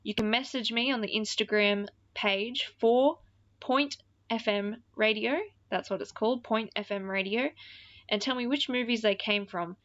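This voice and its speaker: A woman's voice: on the high side, normal speed, fairly bright tone, dry recording, highs cut off. A woman hosting a radio-style show in casual DJ chat, with an informal, rambling, self-deprecating manner. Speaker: radio-style podcast host.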